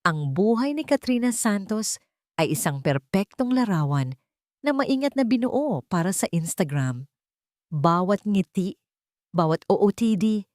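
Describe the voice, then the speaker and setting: A woman with a Filipino accent narrating a romance story in a measured, dramatic storytelling manner. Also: mid-range voice, darkish tone, dry recording, studio quality.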